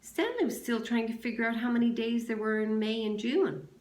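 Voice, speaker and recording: A woman's voice: on the high side, brisk, darkish tone. A woman, a teacher, reading a children's novel aloud with expression. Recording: echoey room sound.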